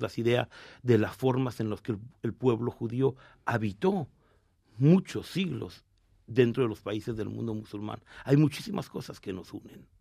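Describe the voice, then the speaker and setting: A man, a rabbi from Argentina with a Mexican Spanish accent, answering interview questions in a measured, explanatory way with some restarts. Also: lowish voice, gravelly, mellow, husky, no echo, studio quality.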